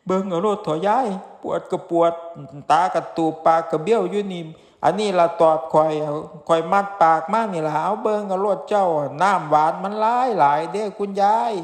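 A man's voice: sits high, a little fast, hardly any pauses, pitched above the performer's own voice, natural voice. A man voicing a naive, earnest young village grandson with an Isan accent, plaintive and eager in a folktale. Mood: pained complaint. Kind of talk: folktale character voice. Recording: music behind the voice.

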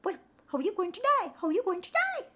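A woman's voice: very high voice, big pitch swings, fast talker, pushed and bright, slight background noise, pitched above the performer's own voice, heavily acted. A woman voicing a fussy male spider in a folktale, with lilting dialect phrasing, saying each question twice. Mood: alarmed.

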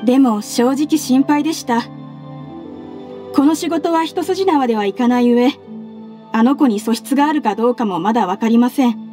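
An actress playing an earnest, respectful young female secretary in a Japanese anime game, speaking politely and with measured care. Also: on the high side, natural voice. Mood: warm, heartfelt. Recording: studio voice over game music. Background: soft background music.

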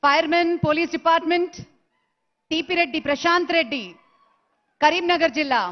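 A woman announcing recruits' names one by one at an award ceremony, in a slow, measured way. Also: extremely high pitch. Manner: slow, measured name-calling into a PA. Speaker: event announcer. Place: large stadium ceremony. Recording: dull lo-fi broadcast sound.

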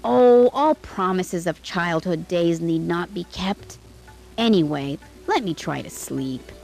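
Lady playing a proud young king in a fairytale, deliberate, kinda defensive, music faint behind. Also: lowish voice, lively intonation, gravelly, a little mellow, full character voice, poor audio. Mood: dismissive. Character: proud young prince turned king, uneasy conscience.

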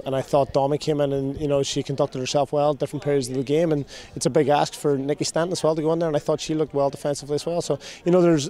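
A man in his thirties, a soccer coach giving a measured post-game interview outdoors, often repeating and rephrasing his words. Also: mid-range voice, warm tone. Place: outdoor sports field at night. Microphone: handheld interview microphone.

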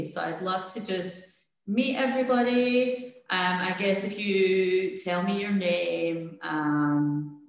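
A woman's voice: fairly low pitch, gravelly. A woman leading a volunteer dog-training session on a video call, friendly and hesitant, with many fillers. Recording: very echoey room.

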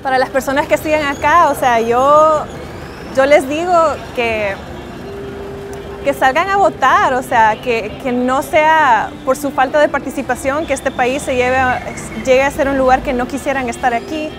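Salvadoran woman in her 20s, high voice, chatty earnest interview answer, music playing under it. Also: normal speed. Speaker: interviewee, emigrant voter. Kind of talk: informal spoken testimonial to camera. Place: outdoors, covered airport walkway.

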